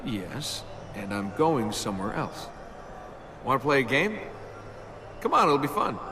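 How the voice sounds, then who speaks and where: A man's voice: mid-range voice, big pitch swings, a little slow, background noise. A man playing a cocky young adventurer and thief in a video game, sarcastic and teasing in conversational banter. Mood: guarded, then playful. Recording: loud music behind the voice.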